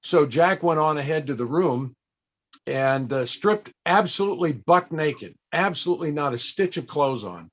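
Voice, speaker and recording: Male panel host, fairly high voice, conversational, interviewer, storyteller. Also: lively intonation, moderate pace, a bit bright.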